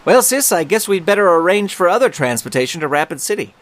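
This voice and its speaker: An actor playing an earnest, excitable American magician and younger brother in a comic audio drama, polite and theatrical. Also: male voice, sits high, fast talker, dry recording.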